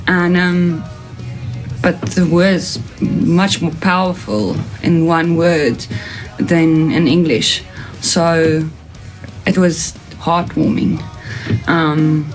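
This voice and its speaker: A woman's voice: low voice, little pitch movement, drawn-out words, soft background music, poor audio. A young woman with a South African accent whose first language is Afrikaans, talking earnestly and with many fillers about her songwriting. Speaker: band singer. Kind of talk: casual interview. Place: home studio room.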